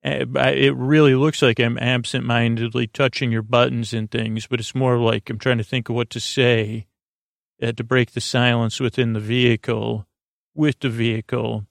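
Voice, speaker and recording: Creaky-voiced man rambling gently at bedtime. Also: medium pitch, flat delivery, no room sound, studio quality. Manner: meandering, halting, repetitive, goofy. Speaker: podcast host.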